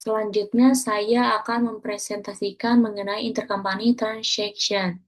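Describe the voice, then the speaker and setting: A woman's voice: mid-range voice, level tone, moderate pace. A young woman student presenting an accounting class lesson, reading steadily from her slides and correcting small slips as she goes.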